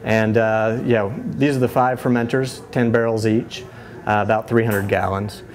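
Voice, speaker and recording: A man in his thirties with a lowish voice talks casually through a brewery tour, easygoing and loose, stopping often on fillers and restarts. He speaks on a lapel mic among steel tanks in a small brewery tucked inside a bar. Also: normal speed, slight background noise. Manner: relaxed, many fillers and restarts. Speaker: head brewer.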